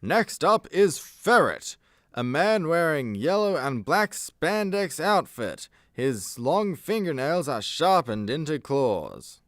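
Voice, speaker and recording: A man's voice: on the high side, sing-song, slow, a bit bright, no room sound, studio quality. A man narrating a scripted comedy radio play, setting each scene as storyteller.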